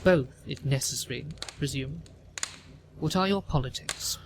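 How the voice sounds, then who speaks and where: A man's voice: medium pitch, a little slow, vocal fry, slight background noise. A man playing a haughty, pompous older upper-class mother in a theatrical audio drama, questioning and disapproving.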